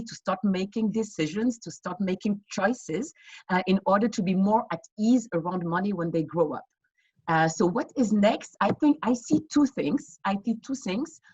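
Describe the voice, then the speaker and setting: Husky-voiced woman founder on a video call. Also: medium pitch, darkish tone, dry recording, lo-fi sound. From West Africa. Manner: earnest, explanatory, many fillers and restarts. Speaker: fintech founder. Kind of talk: online panel interview answers.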